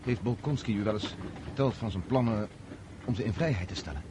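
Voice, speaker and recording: An actor playing a kind-hearted, awkward young Russian count in a radio play, halting and self-correcting. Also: male voice, fairly low pitch, close to an everyday voice. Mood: earnest, troubled. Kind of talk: radio drama acting. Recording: noisy old radio play recording.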